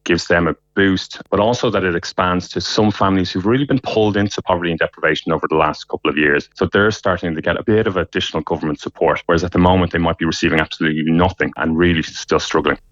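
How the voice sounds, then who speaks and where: A man's voice: deep voice, fairly even intonation, fast talker, few pauses, a bit bright, no echo, dull audio. A man speaking for a charity in a recorded news clip, earnest and fluent. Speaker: charity spokesperson.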